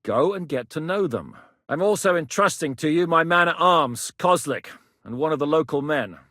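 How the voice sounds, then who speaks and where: Commanding medieval captain, higher-pitched voice. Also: male voice, lively intonation, normal speed, sharp tone, no room sound, studio quality. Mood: steady, commanding. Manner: authoritative, decisive, plain-spoken. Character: commanding medieval rebel captain, shrewd and resolute. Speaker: military leader planning operations. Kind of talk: video game dialogue acting.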